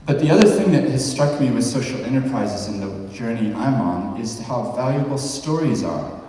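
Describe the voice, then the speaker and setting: A man's voice: medium pitch, expressive, moderate pace, dark tone. Nz guy in his 40s doing a chatty conference talk, asks the crowd stuff, long hall reverb, mic far away. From New Zealand. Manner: conversational, asks the audience questions. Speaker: podcast host giving a talk. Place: large hall with a stage. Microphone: handheld mic, heard from far off.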